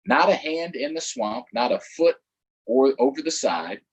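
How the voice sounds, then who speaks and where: A man voicing an excitable, folksy swamp boat guide in a storybook, wary of a gator and speaking with exaggerated delivery. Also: mid-range voice, moderate pace, slightly rough, rough recording. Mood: cautioning.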